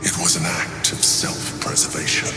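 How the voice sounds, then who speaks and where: An actor playing a proud, calculating mind flayer in a video game, speaking in a calm, measured, deliberate way. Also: male voice, natural voice. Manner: measured, deliberate, self-justifying. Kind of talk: dramatic game monologue. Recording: roomy long reverb, music behind.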